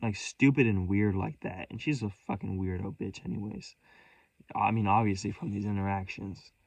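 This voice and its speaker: A man's voice: on the low side, gravelly, breathy edge, dry recording, low-quality recording. A man telling a rambling story in a vlog, profane and scattered, restarting sentences and commenting on his own spiraling thoughts. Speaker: vlogger.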